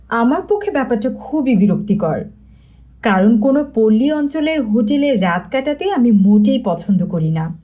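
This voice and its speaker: A woman narrating a scripted story as an audiobook reader, in an expressive storytelling manner. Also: high voice.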